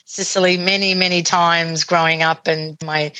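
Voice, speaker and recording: An Australian woman answers interview questions in a chatty, meandering way. Her voice is low yet bright and forward. The recording is dry and close. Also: flat delivery, moderate pace, no echo, rough recording. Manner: chatty, many fillers and restarts. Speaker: guest, food tour founder.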